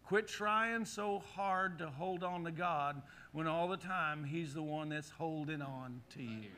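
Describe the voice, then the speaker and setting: A middle-aged male pastor preaching a sermon in a church, leading the congregation in call-and-response with animated, forceful delivery. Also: fairly high pitch, a little slow. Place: church sanctuary. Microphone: handheld or headset mic.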